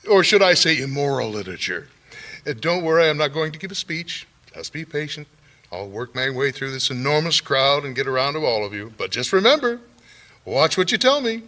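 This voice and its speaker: An actor playing a vain, theatrical muckraking author in a radio mystery, with a pompous, showy manner. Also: male voice, mid-range voice, bright, forceful tone. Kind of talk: old-time radio mystery acting.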